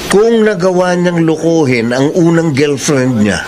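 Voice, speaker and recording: A man's voice: a little high, measured pace, noisy background. A man hosting a radio advice drama, answering bluntly and asking probing questions.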